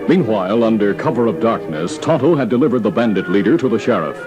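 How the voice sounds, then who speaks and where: An actor as the solemn narrator of an old-time western radio serial, reading scripted adventure narration. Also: male voice, on the low side, big pitch swings, fast talker, lo-fi sound, over loud music. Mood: dramatic.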